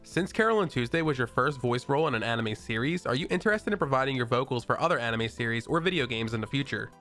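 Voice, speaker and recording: A man hosting an interview, reading scripted background facts briskly and asking questions. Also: medium pitch, animated, a bit bright, soft background music. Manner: brisk, reads facts and lists of titles. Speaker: interview host.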